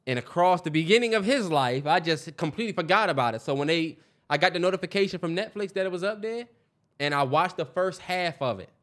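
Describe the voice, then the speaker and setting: A man's voice: high voice, expressive, quick pace, a bit bright. An American man co-hosting a podcast, talking casually in informal slang. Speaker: podcast co-host. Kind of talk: casual chat.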